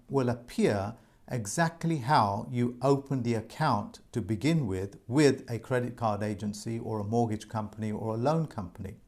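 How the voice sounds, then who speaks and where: A man's voice: mid-range voice, darkish tone. A man speaks straight to his viewers, calling them folks. His voice sits mid-height and moves a lot, and he keeps circling back to his points with earnest insistence. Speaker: presenter giving debt advice. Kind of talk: informal advice monologue to viewers.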